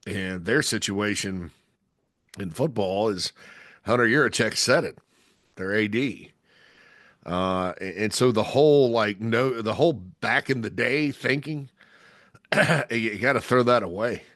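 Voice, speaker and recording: A low-pitched man with a drawl talks his way through a solo podcast, stuffy from a cold. He rambles, restarting sentences and leaning on fillers. The sound is dry and close, with no room around it. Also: a little slow, fairly bright tone, dry recording. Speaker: podcast host. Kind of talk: casual solo podcast talk.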